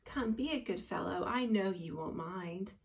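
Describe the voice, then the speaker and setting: A woman's voice: mid-range voice, unhurried, gravelly. A woman voicing a lazy, cheeky female bird in a storybook, sweet-talking and wheedling. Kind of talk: storybook character voice read aloud.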